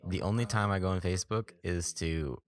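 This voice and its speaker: A man's voice: sits low, brisk. A young man co-hosting a podcast, musing in laid-back casual chat. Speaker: podcast co-host.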